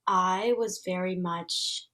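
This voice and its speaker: A woman's voice: lowish voice, steady tone, takes it slowly, pushed and bright. A woman in her thirties whose first language is Vietnamese, speaking as an interview guest in an earnest, searching way with many fillers and restarts. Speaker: guest, transformation facilitator. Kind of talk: casual reflective interview talk.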